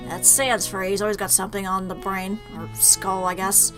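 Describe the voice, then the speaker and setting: A woman's voice: medium pitch, flat delivery, moderate pace, music in the background. A woman doing let's play commentary, reading game text aloud and reacting with asides. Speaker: gaming video host.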